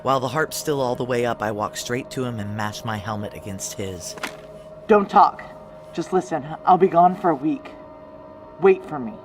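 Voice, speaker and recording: A woman's voice: a bass voice, lively intonation, normal speed, over music. A woman acting a tough, guarded female security chief in a noir audio drama, terse, wry and confiding. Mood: urgent, pleading. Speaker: lead character and narrator.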